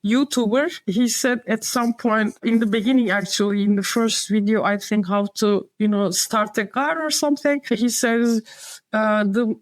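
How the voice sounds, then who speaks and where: A woman's voice: on the high side, fairly even intonation, a little slow, no echo. A woman with a Turkish accent, a designer and publisher talking as an interview guest, hesitant and circling back to her point. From Turkey. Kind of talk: casual interview conversation.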